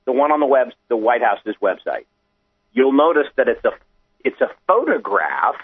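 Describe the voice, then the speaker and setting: Male guest on a narrow, slightly husky phone line, conversational explainer working through technical points step by step. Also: medium pitch, big pitch swings, normal speed. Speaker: guest explaining digital documents. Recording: muffled, tinny phone line.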